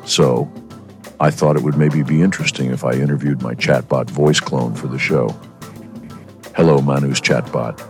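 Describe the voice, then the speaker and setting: Very deep-voiced male radio co-host with a cold. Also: steady tone, normal speed, over music. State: stuffy from a cold. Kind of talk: scripted radio segment.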